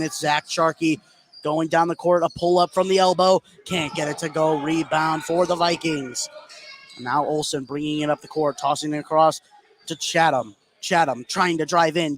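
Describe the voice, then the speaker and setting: Male play-by-play announcer, fairly high voice, live basketball radio call, energetic, clear game noise behind.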